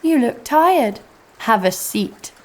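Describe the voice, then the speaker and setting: A woman's voice: a little high, animated, slow pace, slight background noise. Brash, sarcastic female adventurer. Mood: mocking, cocky. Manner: sardonic, cocky. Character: brash, sarcastic, foul-mouthed female adventurer narrating her exploits. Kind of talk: first-person audio drama narration and dialogue.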